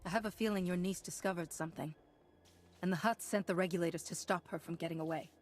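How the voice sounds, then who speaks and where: A woman's voice: lowish voice, fairly even intonation, lightly acted. Woman as composed Jedi heroine, faint music. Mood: resolute. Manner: measured, assured, authoritative. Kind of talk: video game cutscene acting.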